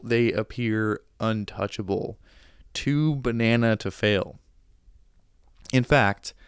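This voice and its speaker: A man's voice: fairly low pitch, clean tone, no echo, studio quality. A man hosting a podcast, giving casual, sarcastic commentary with joking asides. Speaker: podcast host.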